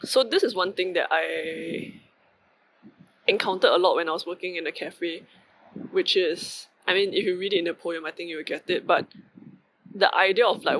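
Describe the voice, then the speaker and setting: Bright-voiced Singaporean man musing aloud. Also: sits high, moderate pace, vocal fry, a little hiss or hum, low-quality recording. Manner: rambling, many fillers and asides. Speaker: vlogger. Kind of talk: casual reflective monologue.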